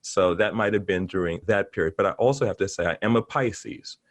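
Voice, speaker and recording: Male interview guest, middle-aged, low voice, chatty and rambling, close dry recording. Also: brisk, dry recording. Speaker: interview guest, artist and teacher. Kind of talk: casual interview chat.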